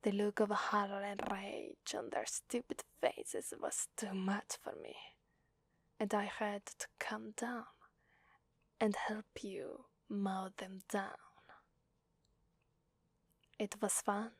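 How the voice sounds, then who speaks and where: A woman from Italy playing a sadistic, playful female demon in a whispered ASMR roleplay, teasing and menacing in a soft, breathy voice. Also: medium pitch, level tone, takes it slowly, pauses often, no echo. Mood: amused, menacing. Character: sadistic, playful female demon mistress who relishes blood.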